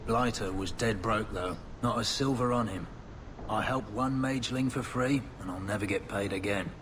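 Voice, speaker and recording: A low-voiced, burned-out ex-knight from a fantasy video game, played by a male actor. He sounds gruff and world-weary, talking in a sardonic, colloquial way like a washed-up informant. The game audio has a noisy, rumbling bed behind him. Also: moderate pace, natural voice. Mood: defensive, grim. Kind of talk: video game dialogue acting.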